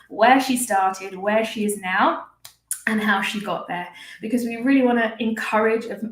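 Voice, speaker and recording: A woman's voice: medium pitch, echoey room. A woman hosting a live-stream interview as a language teacher, upbeat and chatty. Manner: upbeat, chatty, laughs often.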